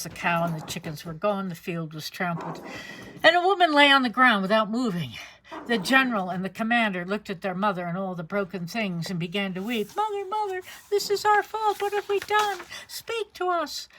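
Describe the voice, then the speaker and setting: A woman reading a children's picture book aloud in an expressive storytelling manner. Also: medium pitch, fairly quick, a little hiss or hum, poor audio. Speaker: storybook reader.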